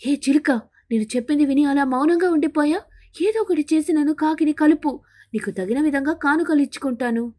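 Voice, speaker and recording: Woman as a high-pitched, lovesick cartoon pigeon. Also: fairly quick, mellow, close to an everyday voice. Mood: impatient. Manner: insistent, emphatic, yearning. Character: lovesick, bossy female pigeon. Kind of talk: animated bird fable dialogue.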